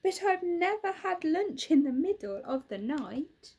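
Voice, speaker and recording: A woman voicing an eager, excitable little boy teddy bear in a storybook. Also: very high-pitched, a little slow, pitched above the performer's own voice, heavily acted. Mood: excited. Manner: excited, wide-eyed.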